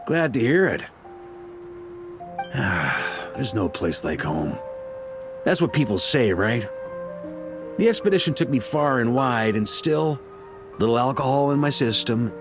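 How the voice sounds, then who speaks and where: An actor playing a relaxed, friendly knight commander who talks in a reflective way. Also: male voice, lowish voice. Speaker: knight back from a long expedition. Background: soft game music.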